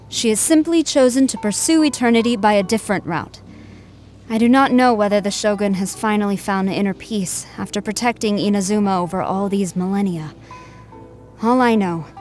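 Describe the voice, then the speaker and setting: A woman playing a stern, loyal military general in a video game, speaking in a formal, measured, earnest way. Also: mid-range voice, lightly acted. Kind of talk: scripted game character voice lines. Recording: music behind the voice.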